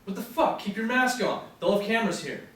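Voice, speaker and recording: A man's voice: high voice, expressive, fairly quick, a bit bright. A man playing an antsy, snapping masked gunman in a script table read. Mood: urgent. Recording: very echoey room, distant mic.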